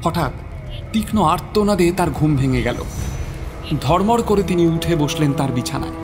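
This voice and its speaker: Higher-pitched man narrating horror. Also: animated, moderate pace. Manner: suspenseful, theatrical. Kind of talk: dramatic scripted horror story narration. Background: prominent dramatic music.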